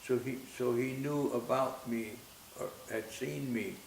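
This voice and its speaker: A man in his nineties who grew up in Austria tells his story in a gentle, halting way, going back over his words. His voice is heavily gravelly with fry. The room rings with echo, and a faint hiss sits under the recording. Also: mid-range voice, steady tone. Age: 90s. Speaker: interviewee. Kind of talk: reminiscing interview.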